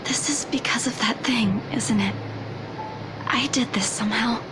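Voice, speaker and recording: A woman's voice: fairly high pitch, measured pace, over music, lightly acted, low-quality recording. An actress playing an anxious, earnest young woman in a visual novel game, hesitant and weighed down by guilt, putting others first. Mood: guilty, resolute. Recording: clear noise behind the voice.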